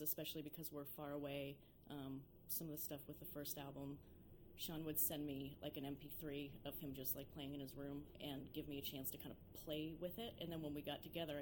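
A young woman with a low speaking voice talks casually about her music, filling her sentences with "like" and "you know". A faint background hiss sits under the voice. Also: quick pace. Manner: chatty, many fillers like "like" and "you know". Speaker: violinist and singer in a duo. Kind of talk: casual interview chat.

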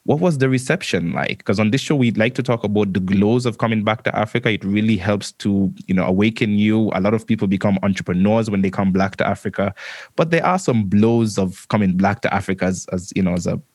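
A man's voice: fairly low pitch, a little fast, darkish tone, clean studio sound. A man hosting a conversational interview show, talking in an affirming way and echoing points back for emphasis.

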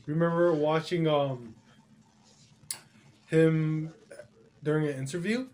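Slangy young man, slightly high voice. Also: fairly even intonation, takes it slowly, darkish tone. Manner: slangy, swears a lot, calls people dude. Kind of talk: casual chat.

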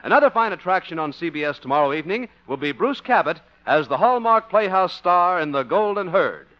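A man's voice: high voice, lively intonation, a little fast. A man reading scripted announcements in 1950s network radio style. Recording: muffled old radio broadcast, music behind. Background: music.

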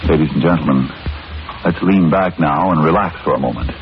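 A man's voice: very deep voice, a little fast, music in the background. A man announcing and advertising in the style of 1940s radio. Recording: muffled, hissy old radio recording.

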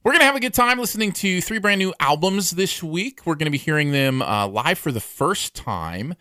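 A man co-hosting a casual music review podcast, chatty and animated, his voice going a bit hoarse. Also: a little high, fairly quick, dry recording, studio quality. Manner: animated, laughs easily, thinks aloud. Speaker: podcast co-host. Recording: music playing underneath.

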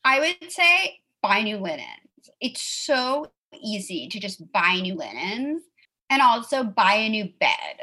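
A woman in her thirties hosts a relaxed chat, filling her talk with "like" and quick asides. Her voice is bright and forward. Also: mid-range voice, breathy edge, low-quality recording. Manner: chatty, peppered with "like", quick asides and laughs. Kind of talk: casual chat.

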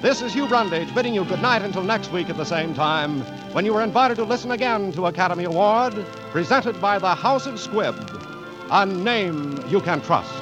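A man's voice: sits high, lively intonation, moderate pace, poor audio. An American man announcing on 1940s radio, reading scripted copy in a formal, polished, emphatic manner. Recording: vintage 1940s radio broadcast, music behind.

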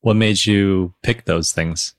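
A man's voice: deep voice, slow pace, dry recording. A man hosting a podcast, asking questions with enthusiasm. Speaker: podcast host. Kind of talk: podcast interview.

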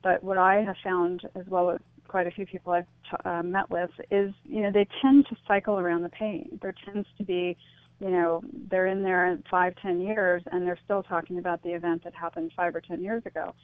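A woman's voice: fairly low pitch, flat delivery, brisk, dry recording. A woman being interviewed on radio over a narrow phone-like line, explaining her views earnestly in a conversational way. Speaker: interview guest, author.

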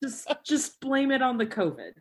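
A woman's voice: sits high, very animated, normal speed, slight background noise. A woman chatting as a guest on a podcast, laughing often and acting out what others said. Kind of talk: casual podcast chat.

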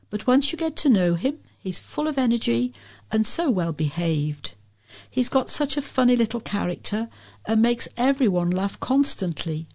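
A woman reads local news from a script as a volunteer. Her medium-pitched voice has a noticeable creak. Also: darkish tone. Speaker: volunteer newsreader.

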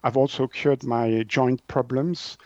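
A man's voice: mid-range voice, fairly even intonation, takes it slowly, warm tone, no room sound, lo-fi sound. A man from Switzerland with a Swiss French accent, explaining things as an interview guest in his second language, often searching for words.

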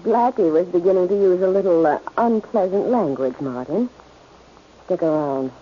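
A woman's voice: lowish voice, expressive, normal speed, a little hiss or hum, natural voice. An actress playing a stubborn, scheming female crime boss, curt and defiant, in 1940s radio style. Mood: menacing. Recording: muffled old-time radio recording.

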